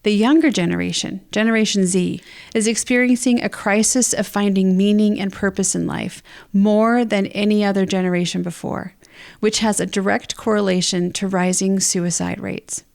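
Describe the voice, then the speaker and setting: A woman podcast co-host with a mid-pitched voice, talking through ideas in an explanatory way. She sounds like someone presenting to listeners on a discussion show. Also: fairly even intonation, moderate pace, creaky, a little mellow. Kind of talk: book discussion podcast, part casual chat, part reading prepared notes.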